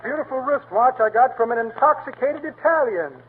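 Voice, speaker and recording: Sly gloating pickpocket, very high voice, tinny vintage radio. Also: male voice, animated, a little fast, slightly rough, full character voice. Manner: pun-laden, gloating patter. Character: sly male pickpocket boasting of loot. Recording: tinny 1930s radio broadcast, faint hiss.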